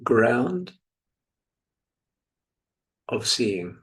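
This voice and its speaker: A British man in his seventies from England leading a guided meditation as a teacher, speaking in a reflective, gently instructive manner with pauses. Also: medium pitch, very animated. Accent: British English. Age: 70s.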